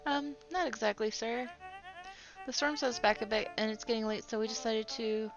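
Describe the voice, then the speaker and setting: A woman's voice: fairly high pitch, steady tone, moderate pace, lo-fi sound, music in the background, natural voice. A woman voicing a young worker who answers a boss hesitantly and politely. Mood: deferential. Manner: deferential, hesitant.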